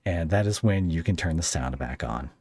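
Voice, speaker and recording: A man's voice: deep voice, lively intonation, normal speed, a little mellow, breathy edge. A man hosting a podcast in casual interview chat, speaking in a hesitant way as he thinks aloud. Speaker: podcast host.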